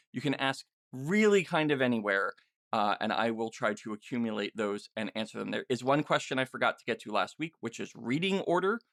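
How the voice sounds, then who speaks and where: Halting male podcast host, close dry sound. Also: mid-range voice, gravelly, fairly bright tone, no echo. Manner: halting, self-interrupting, thinking aloud. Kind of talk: casual conversational podcast chat.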